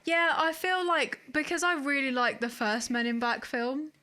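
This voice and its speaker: A woman's voice: high-pitched, normal speed, sharp tone. A woman co-hosting a podcast, chatting casually. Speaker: podcast co-host. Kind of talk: casual chat about films and shows.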